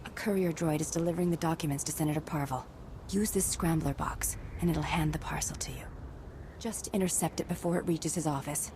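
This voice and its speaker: A woman's voice: low-pitched, steady tone, brisk, noisy background, lightly acted. A woman playing an earnest, secretive ex-military diplomat and zealous patriot in a video game, speaking persuasively and solemnly. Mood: pleading, secretive. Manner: persuasive, solemn, hushed at times.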